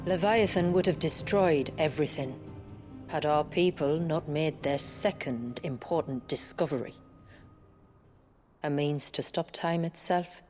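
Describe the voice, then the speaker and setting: An actress playing a solemn woman of a fantasy video game, recounting her people's history in measured, formal, archaic phrasing. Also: deep voice, darkish tone. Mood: grave, sorrowful. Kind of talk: dramatic video game storytelling. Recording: background music under the voice.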